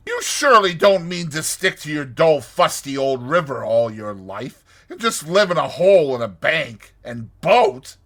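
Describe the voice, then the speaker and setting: A man voicing an excitable, boastful toad gentleman in a storybook, showy and wheedling in his exclamations. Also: a little high, a little slow, few pauses, pitched above the performer's own voice, exaggerated voice. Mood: imploring. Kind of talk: dramatized storybook reading.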